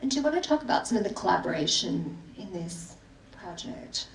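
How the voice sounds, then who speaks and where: A woman curator moderating a public talk, gently prompting the speaker and keeping time. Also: fairly low pitch, sing-song, a little fast, vocal fry. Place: gallery event space with audience. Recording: echoey hall from far off.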